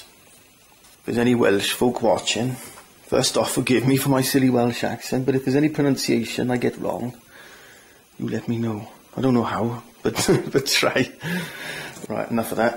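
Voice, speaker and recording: A man's voice: mid-range voice, creaky, slight background noise, low-quality recording. A man hosting a board game channel, walking viewers through setup and rules in a chatty, enthusiastic way with self-deprecating asides. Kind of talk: tabletop game rules walkthrough.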